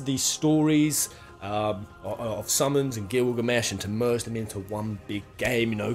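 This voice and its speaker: A man hosting a countdown video, giving enthusiastic opinion commentary in an informal, rambling and emphatic way. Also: medium pitch. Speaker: host of a gaming countdown video.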